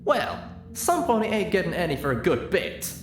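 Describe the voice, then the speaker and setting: A man's voice: sits high, very animated, normal speed, roomy sound, background noise, voice pushed up. A man voicing an older cartoon-style pony mare in an audiobook, a folksy, emphatic country boss, strained with fury and heartbreak. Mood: angry, determined.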